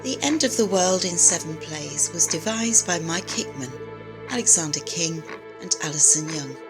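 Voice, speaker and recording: Low-pitched woman announcer over music. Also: animated, normal speed, rough recording. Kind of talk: scripted credits narration. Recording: music under the voice. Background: prominent music.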